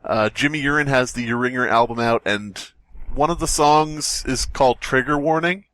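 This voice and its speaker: A man's voice: mid-range voice, moderate pace, fairly bright tone, no echo. A man co-hosting a podcast, chatting casually in a rambling, crude way and laughing often. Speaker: podcast co-host. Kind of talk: casual banter.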